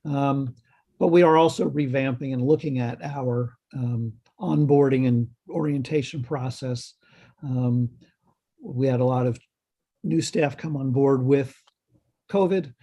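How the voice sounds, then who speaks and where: A man giving a halting update at a health committee meeting over a video call, slightly hoarse, with many ums and restarts. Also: medium pitch, dark tone. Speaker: health department staff presenter.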